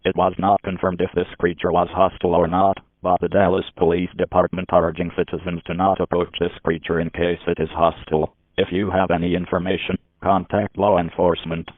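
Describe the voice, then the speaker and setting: A tinny, narrow broadcast channel carries a synthetic man's voice. It is low and very monotone, reading a warning bulletin with formal, stilted phrasing. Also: brisk, long pauses. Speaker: emergency alert announcer. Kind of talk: scripted emergency alert announcement. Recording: tinny, phone-like alert broadcast.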